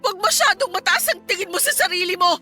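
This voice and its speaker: A woman's voice: extremely high pitch, expressive, fast talker, pushed and bright, faint music behind, heavily acted. A woman playing a hurt, defiant young wife and mother in an emotional, pleading Filipino radio drama. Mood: angry, defiant.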